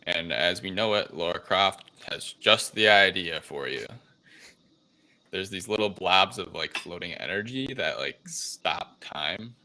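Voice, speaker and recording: Low, bright-voiced man casually recapping a film. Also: expressive, measured pace, rough recording. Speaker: podcast host recapping a film.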